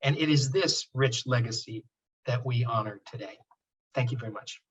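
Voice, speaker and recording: Man, formal prepared speech, academic, middle pitch, somewhat far from mic. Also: moderate pace, dull audio, a little hiss or hum. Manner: formal, reading from a prepared text.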